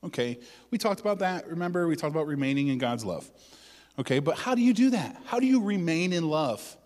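A male pastor giving a conversational sermon, with joking asides and loud bursts of emphasis. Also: a little high, very animated, brisk, big-room sound.

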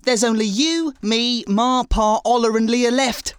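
A woman's voice: on the high side, a little slow, clean tone, dry recording, close to an everyday voice. A woman playing an indignant, blustering young husband in an audio comedy drama, blunt and huffy in delivery.

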